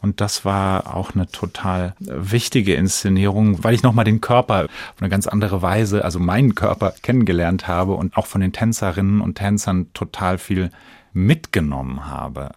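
A man's voice: sits low, normal speed, darkish tone, no echo, clean studio sound. A man speaking conversationally as an actor being interviewed on the radio.

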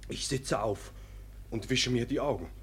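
A man's voice: medium pitch, measured pace, breathy edge. An actor playing an indignant young peasant lad in a radio play, telling his tale in vivid, earthy verse. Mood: aggrieved. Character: indignant, hot-headed young peasant lad, jilted fiancé.

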